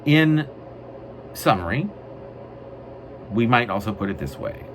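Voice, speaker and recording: A man reading his own poetry in a wry, mock-grandiose way, with deliberate, literary phrasing. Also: mid-range voice, big pitch swings. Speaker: poet reading own work. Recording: noisy amateur recording.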